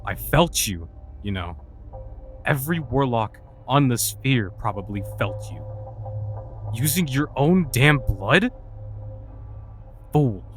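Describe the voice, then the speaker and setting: A man's voice: medium pitch, big pitch swings, slow pace, pauses often. An actor playing a scornful, exasperated male warlock in a fantasy audio drama, scolding and sarcastic. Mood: angry, scolding. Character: irritable, scornful male dark magician and warlock. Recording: music behind the voice. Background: music.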